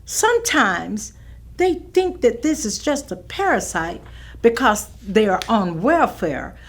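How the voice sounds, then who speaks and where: An actress playing a firm, caring older schoolteacher who tells her recollections in an emphatic, measured way in a staged reading. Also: fairly high pitch, very animated, heavily acted. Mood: thoughtful, sympathetic. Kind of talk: staged reading of oral history testimony.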